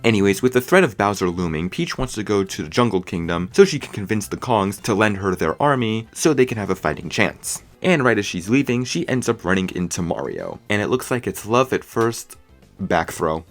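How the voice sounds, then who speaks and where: Animated low-voiced man delivering a review rant. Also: a little fast, faint music behind. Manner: emphatic, sarcastic, ranting. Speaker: video reviewer. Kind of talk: opinionated scripted review narration.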